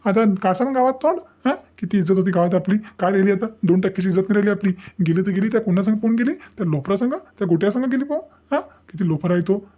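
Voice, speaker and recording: A man's voice: sits high, fast, mellow. A man voicing an indignant, proud village man in a cartoon family drama, insistent and scolding, with a Varhadi Marathi accent. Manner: insistent, scolding, full of rhetorical questions.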